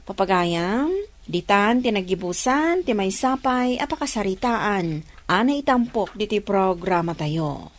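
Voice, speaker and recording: A woman's voice: medium pitch, expressive, a little slow. A woman with a Filipino Ilocano accent delivering scripted narration for a radio drama programme.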